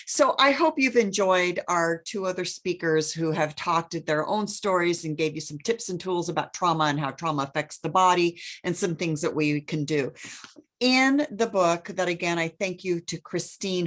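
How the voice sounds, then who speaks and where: A woman's voice: on the low side, fairly bright tone. A woman hosting an online women's event, introducing speakers off the cuff in an effusive way.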